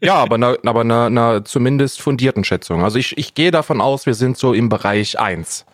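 A man's voice: medium pitch, brisk, no room sound, clean studio sound. A man co-hosting a casual comedic podcast, speaking informally and often rephrasing himself. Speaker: podcast co-host.

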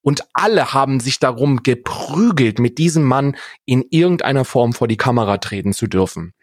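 A man's voice: medium pitch, animated, moderate pace, no room sound, studio quality. A young man talking casually and with strong opinions as a podcast co-host, repeating phrases for emphasis.

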